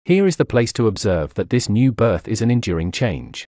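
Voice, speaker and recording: A man's voice: lowish voice, sing-song, normal speed, mellow, no room sound, clean studio sound. A man reading an old sermon aloud in an emphatic, declamatory preaching manner. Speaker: narrator reading a historical sermon. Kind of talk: scripted sermon reading, oratorical.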